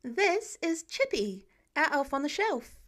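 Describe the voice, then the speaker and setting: A woman voicing an eager, earnest teenage boy with a playful streak, excitable and insistent, in an audiobook story. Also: high-pitched, takes it slowly, voice pushed up, full character voice. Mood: affronted.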